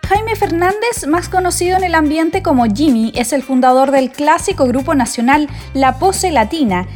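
High-pitched female announcer. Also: lively intonation, moderate pace, a little mellow, faint music behind. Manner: polished announcer delivery. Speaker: program announcer. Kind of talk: scripted narration.

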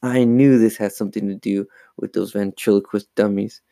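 A man's voice: on the low side, steady tone, moderate pace, gravelly, mellow, no room sound, studio quality. A man voicing a stern, exasperated mother in a children's horror story, scolding with emphasis. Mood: stern, accusing.

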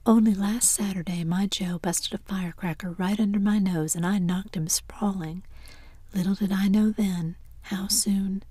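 A woman's voice: mid-range voice, steady tone, normal speed, mellow, breathy edge, dry recording, natural voice. A woman whispering as a tearful, remorseful mother in a storybook reading. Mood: sobbing.

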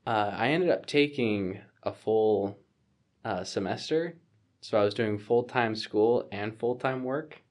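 A man's voice: fairly low pitch, slow, a little mellow. A man in his twenties talking casually about his plans, rambling with many fillers and restarts. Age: 20s. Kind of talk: casual conversation.

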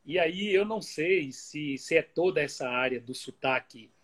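A man's voice: a little high, expressive, moderate pace, a bit bright. A man from Bahia whose accent has picked up a Pernambuco tone, explaining at length in a casual conversation, heard over a video call. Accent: Bahian Portuguese mixed with Pernambuco. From Bahia, Brazil. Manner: explanatory, thinks aloud with restarts. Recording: video call audio with lag.

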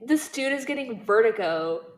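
A woman co-hosting a book podcast in casual conversation, speaking in an animated, opinionated and emphatic way. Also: fairly high pitch, normal speed, slight background noise. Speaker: co-host of a book discussion podcast.

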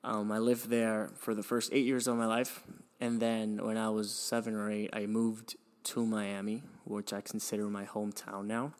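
A man's voice: fairly low pitch, level tone, normal speed, hardly any pauses. A young man from Venezuela hosting a podcast, telling his life story in a reflective, hesitant way with frequent restarts and sighs. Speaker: podcast host.

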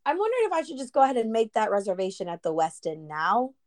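A woman's voice: fairly high pitch, big pitch swings, fast talker. A woman co-hosting a casual sports podcast, chatty with jokey asides. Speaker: podcast co-host.

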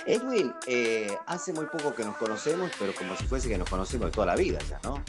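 Lively Rioplatense man hosting over music. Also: medium pitch, a little fast. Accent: Rioplatense Spanish. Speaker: podcast host and interviewer. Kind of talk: conversational radio interview.

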